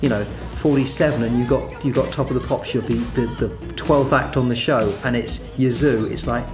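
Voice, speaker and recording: A man's voice: medium pitch, fairly even intonation, moderate pace, over music. A man in a documentary interview, enthusiastically explaining how things worked.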